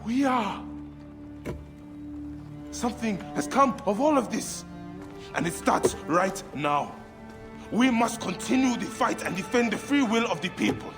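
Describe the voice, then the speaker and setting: A man's voice: high-pitched, full character voice. Impassioned, grieving warrior father. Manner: intense, earnest, declamatory. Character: passionate grieving Egyptian warrior father, solemn brotherhood leader. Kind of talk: dramatic video game acting. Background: background music.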